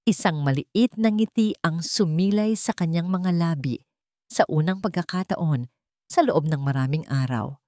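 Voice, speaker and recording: Filipino woman reading a dramatic story, kinda low voice, super dry no room. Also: measured pace, a little mellow, no echo. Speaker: narrator. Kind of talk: scripted dramatic audiobook-style story narration.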